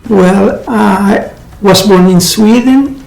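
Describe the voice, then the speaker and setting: Gravelly slow-spoken middle-aged man. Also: sits high, a little mellow. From Colombia. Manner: slow, halting, careful phrasing. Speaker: guest. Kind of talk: interview answers. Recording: roomy echo, faint music behind.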